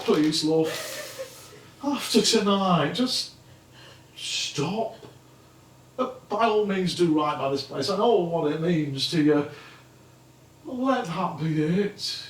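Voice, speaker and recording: Casting: man playing weary husband, animated theatrical delivery, very echoey auditorium, mic far away. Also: sits high, slow pace, long pauses. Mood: tender, pleading. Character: comedienne's husband, weary, hurt, pleading and loving. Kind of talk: theatrical stage acting.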